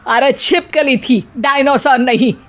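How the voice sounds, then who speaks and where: A woman's voice: high voice, a little slow. A woman voicing a bossy, sarcastic middle-aged mother-in-law who scares easily, in a scolding, exclamatory family comedy cartoon.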